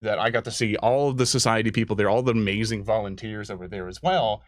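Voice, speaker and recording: Fast-talking man with a lowish voice. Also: fairly even intonation, no echo, studio quality. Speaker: interviewer, show host. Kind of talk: casual interview chat.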